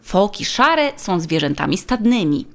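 A woman's voice: medium pitch, big pitch swings, a little slow, creaky, fairly bright tone, pitched above the performer's own voice, heavily acted. A woman voicing a helpful, polite female tour guide who explains things in a children's audiobook. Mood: explaining.

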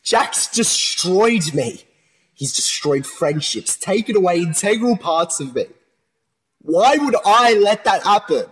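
A man's voice: high voice, animated, takes it slowly, breathy edge, exaggerated voice, low-quality recording. A man acting in a modern radio play as a distressed, earnest young man pleading his innocence over a split personality. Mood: desperate pleading.

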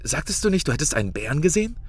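A man's voice: fairly high pitch, big pitch swings, rapid-fire, breathy edge. A man playing an anxious but friendly reality-show contestant in a horror audio drama, coaxing and stammering.

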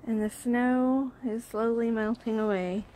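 A woman's voice: on the high side, fairly even intonation, unhurried, mellow, rough recording. A woman with a Southern Alabama accent chatting casually and with amusement while filming outdoors on her phone. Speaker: vlogger. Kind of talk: casual video diary. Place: outdoors in a snowy yard, at home. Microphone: phone camera held while filming.

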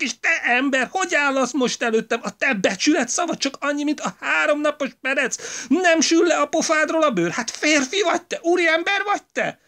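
A man voicing a furious young wife in a storybook reading, shrieking a high, indignant tirade at her husband. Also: pushed and bright, exaggerated voice.

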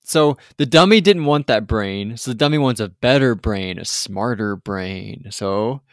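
A man co-hosting a podcast, recapping a TV episode in casual, animated chat with mocking asides. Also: fairly low pitch, a little slow, no room sound, studio quality. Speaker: podcast co-host.

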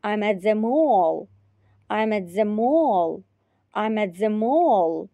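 Slow, careful woman teacher. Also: medium pitch, animated, no echo, phone-quality sound. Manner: repeats each phrase three times, carefully. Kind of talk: slow repetition drill.

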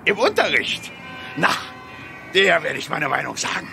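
Shrill, pompous, flustered mayor. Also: male voice, sing-song, normal speed, creaky, bright, forceful tone, faint music behind, full character voice. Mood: indignant, flustered. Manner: blustering, indignant, theatrical. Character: flustered, pompous, irritable male town mayor. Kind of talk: children's audio drama acting. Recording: radio play with faint background noise.

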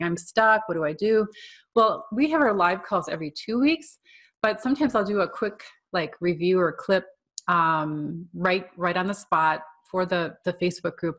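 Woman art teacher, lowish voice, informal and conversational, talking through slides for an online class with frequent asides. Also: fairly bright tone.

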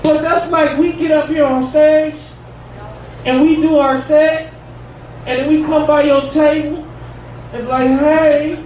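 A man's voice: very high voice, steady tone, a little slow, long pauses. A man in his thirties doing a casual, profane stand-up set at an open mic in a bar. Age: 30s. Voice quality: casual, profane, drawling delivery. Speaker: stand-up comedian. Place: bar comedy room. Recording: echoey bar room, distant and noisy. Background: audience ambience.

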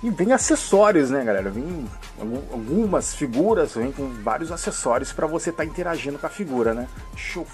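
A man's voice: a little high, animated, fairly quick, hardly any pauses. Man with a mixed São Paulo and Rio accent, casual enthusiastic reviewer, off-screen camera mic, light music bed. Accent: mixed São Paulo and Rio de Janeiro Brazilian Portuguese. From Brazil. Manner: chatty, fills with "né?" and "hein?", enthusiastic. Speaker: toy reviewer.

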